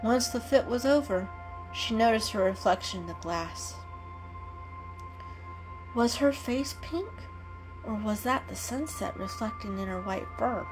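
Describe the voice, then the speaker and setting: A woman giving an expressive, dramatic reading of a children's animal story as a storyteller. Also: on the high side, unhurried, long pauses, rough recording. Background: background music.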